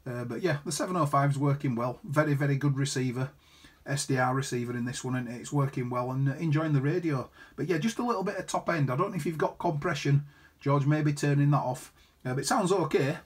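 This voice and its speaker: A man with a husky voice, an amateur radio operator chatting casually in friendly, jargon-filled radio talk. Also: medium pitch, fast. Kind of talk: casual ham radio chat. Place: indoors at his radio set.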